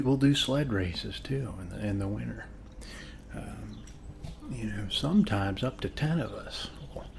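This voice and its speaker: Slow, creaky-voiced man in soft ASMR chat. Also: medium pitch, a little hiss or hum. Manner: soft-spoken, friendly, unhurried, pausing often. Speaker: ASMR performer playing a friendly neighbor. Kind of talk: ASMR roleplay, one-sided casual chat over coffee.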